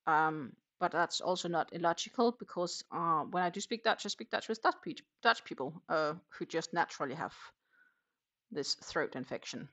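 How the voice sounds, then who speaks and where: A woman hosting a casual craft livestream, chatting haltingly and muttering to herself in a self-deprecating way. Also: deep voice, a bit bright. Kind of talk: casual livestream chat while knitting.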